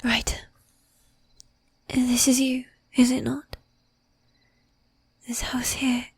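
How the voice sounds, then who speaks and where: ASMR roleplay: actress as possessive, courtly female vampire, high whispery voice, unhurried, very even melody. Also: no echo, full character voice. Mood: tender, teasing. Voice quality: breathy, whispery. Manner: formal, archaic diction, slow and deliberate. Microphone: close microphone, dry.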